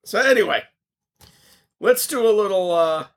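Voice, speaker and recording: A man co-hosting a casual podcast while losing his voice, coughing now and then and projecting loudly with many restarts. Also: sits high, lively intonation, measured pace. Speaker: podcast co-host.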